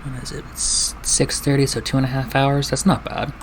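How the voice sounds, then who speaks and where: A man's voice: medium pitch, little pitch movement, moderate pace, background noise. A man commenting casually on a game as he streams it, sweary and sardonic.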